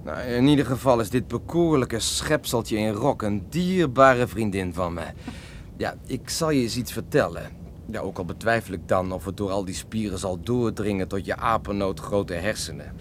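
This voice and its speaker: An actor in a radio play playing a cocky, lovesick young beatnik poet who smokes marijuana, with a theatrical, mocking drawl. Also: male voice, fairly low pitch, normal speed, a little hiss or hum, close to an everyday voice. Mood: grandiose, smitten. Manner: theatrical, mocking, languid drawl with sudden outbursts.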